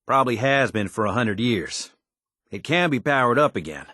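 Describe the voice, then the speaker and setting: An actor playing a folksy, wisecracking American tinkerer in a video game, talking in casual slang. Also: male voice, medium pitch, very animated, normal speed, bright, forceful tone, no echo, clean studio sound. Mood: eager, persuasive. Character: folksy, wisecracking male tinkerer and scrappy settler ally.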